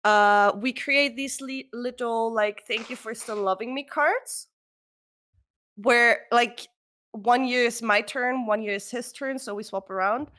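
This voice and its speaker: A woman's voice: on the high side, unhurried, pushed and bright. A woman co-hosting a casual podcast, rambling with lots of ums and restarts. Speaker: podcast co-host.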